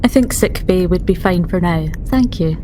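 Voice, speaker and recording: A woman's voice: fairly low pitch, normal speed, a little hiss or hum, rough recording. A woman playing a tabletop role-playing game, describing her character casually in short, hesitant phrases. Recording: loud music behind the voice.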